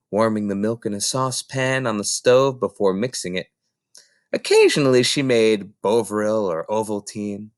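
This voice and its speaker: Lively, expressive male storybook reader. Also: on the low side, no room sound, clean studio sound. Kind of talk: expressive audiobook narration of a children's novel.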